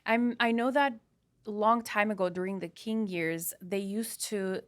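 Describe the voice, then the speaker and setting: Woman podcast host, bright tense tone, mid pitch, chatty, encouraging. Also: measured pace. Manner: chatty, encouraging, restarts sentences. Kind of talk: casual interview chat.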